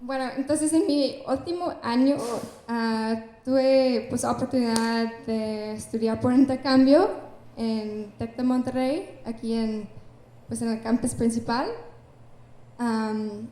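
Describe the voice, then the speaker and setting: A woman's voice: fairly high pitch, fairly even intonation, takes it slowly, warm tone. A young Canadian woman from Canada, speaking Spanish with an English accent as a startup founder on an event stage, hesitant and full of fillers. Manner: hesitant, many fillers, laughs between phrases. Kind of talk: onstage interview. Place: event stage before an audience. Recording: echoey room.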